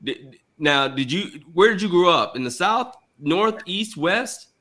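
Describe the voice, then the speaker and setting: A man's voice: high voice, animated, measured pace, pushed and bright. A man co-hosting a podcast, bantering casually with playful teasing as he asks questions. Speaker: podcast co-host.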